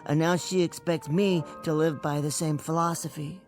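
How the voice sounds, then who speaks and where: A man's voice: a little high, fairly even intonation, normal speed, darkish tone, soft background music, close to an everyday voice. Actor as a meek, stammering bookish man. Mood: flustered, pleading. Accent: American. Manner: hesitant, stammering, apologetic. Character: meek, bookish, henpecked bank teller and husband. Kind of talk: radio drama acting.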